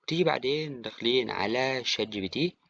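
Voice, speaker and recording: A man with an Egyptian accent giving an informal software tutorial, talking to the viewer like a friend. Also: medium pitch, quick pace, vocal fry, no echo. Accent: Egyptian Arabic. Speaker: tutorial presenter. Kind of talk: casual screen-recorded software tutorial. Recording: dry, slightly dull, with clicking.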